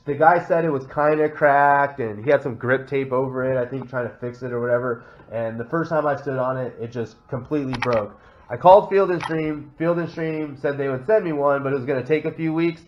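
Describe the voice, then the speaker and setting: A man's voice: mid-range voice, a little fast. Congested Texan man with rambling fillers. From Texas. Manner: rambling, many "uh" fillers and restarts. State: congested from a head cold. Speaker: kayak vlogger. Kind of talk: casual show-and-tell walkthrough. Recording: slightly dull sound with faint noise.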